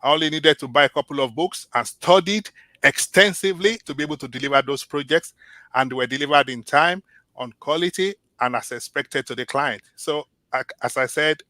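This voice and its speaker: A man from Nigeria, a Yoruba speaker by birth, answers interview questions earnestly and with care. His voice is bright and forceful, and the recording sounds close and dry. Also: medium pitch, no room sound. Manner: earnest, measured, with restarts. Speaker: job candidate. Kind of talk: mock job interview answers.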